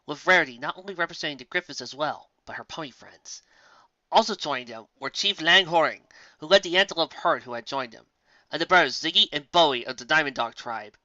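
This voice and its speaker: A male amateur narrator reads a fantasy story. His tone is bright and forceful, and the recording is dry with a slightly lo-fi, muffled band. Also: a little high, no echo. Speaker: amateur audiobook reader.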